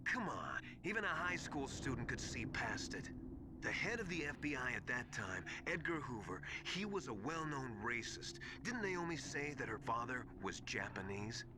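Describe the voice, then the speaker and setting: Man acting as insistent military adviser, lively pitch, conspiratorial, dramatic, noisy game capture. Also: moderate pace, natural voice.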